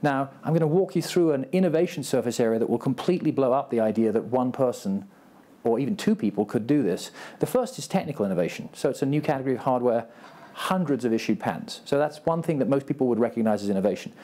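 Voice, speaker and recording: Fast-talking Texan businessman on a panel. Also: medium pitch, animated, mellow. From Texas. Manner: brisk, self-deprecating, list-like. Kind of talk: panel discussion remarks. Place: large conference stage before an audience. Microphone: lapel mic.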